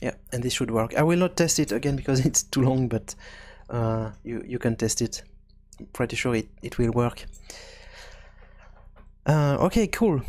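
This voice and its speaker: A man presenting an unscripted programming tutorial, hesitant and halting as he thinks aloud. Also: medium pitch, darkish tone.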